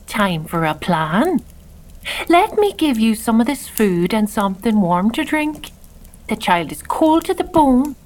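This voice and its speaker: An actress with an Irish accent playing an eccentric, kindly northern wise woman in a children's audio drama, exclaiming and muttering to herself. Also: fairly high pitch.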